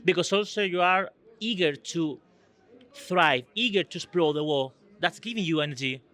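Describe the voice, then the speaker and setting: Earnest man, fairly high voice. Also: normal speed, a bit bright. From Spain. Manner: earnest, searching for words, non-native phrasing. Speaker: EU delegate guest. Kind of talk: conversational interview answers.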